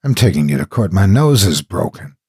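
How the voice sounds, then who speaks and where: A man's voice: sits low, big pitch swings, fairly quick, vocal fry, warm tone, breathy edge, no room sound, clean studio sound, full character voice. A man voicing a heavy older repairman, hurt and outraged, in a horror story. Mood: bitter, threatening.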